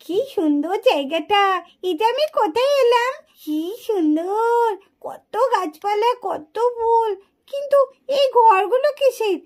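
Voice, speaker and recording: A woman's voice: very high-pitched, expressive, unhurried, a little mellow. A woman voicing a stubborn, curious little village girl in a children's puppet cartoon, with a whiny, insistent way of talking. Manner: whiny, insistent, repeats demands.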